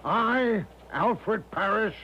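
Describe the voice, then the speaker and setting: High-voiced, boastful radio-drama major. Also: male voice, lively intonation, extremely slow, full character voice. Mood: coaxing, jovial. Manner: grandly self-assured, coaxing showman's patter. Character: eccentric, boastful older American confidence-man major, breezily reassuring. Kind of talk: 1970s radio drama acting. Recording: dull, noisy 1970s radio recording.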